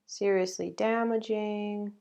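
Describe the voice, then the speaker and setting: Slow, creaky-voiced woman guiding meditation. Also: mid-range voice, steady tone, darkish tone. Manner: slow, halting, with long pauses. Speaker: meditation guide. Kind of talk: guided meditation.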